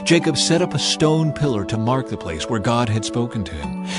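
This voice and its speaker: Guy reading bible audiobook, lowish voice, dry close recording, measured and solemn. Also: no room sound. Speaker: audiobook narrator. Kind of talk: scripted scripture narration.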